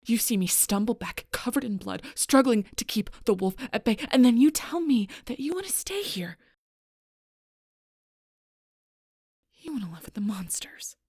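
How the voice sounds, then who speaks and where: Casting: woman to play a protective female alpha werewolf for intimate audio roleplay. Low-pitched, raspy, bitter and intense. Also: normal speed, pauses often, no echo, clean studio sound, full character voice. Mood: angry, exasperated. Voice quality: breathy, husky, rough-edged. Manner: intense, confrontational, swearing, often dropping to near-whisper. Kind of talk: audio roleplay acting addressed to the listener.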